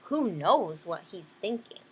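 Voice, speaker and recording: A woman's voice: medium pitch, very animated, measured pace, fairly bright tone, slight background noise, low-quality recording. Woman acting a jumpy, irritable little storybook fish. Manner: accusing and agitated. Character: irritable, suspicious, alarmist little male fish.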